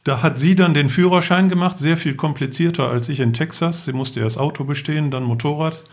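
A man's voice: fairly high pitch, brisk. A man, telling casual anecdotes as a podcast guest. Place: living room.

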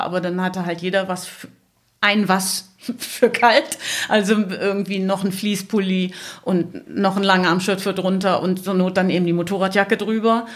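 A middle-aged woman telling travel stories as a podcast guest in a casual, chatty, colloquial way. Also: medium pitch.

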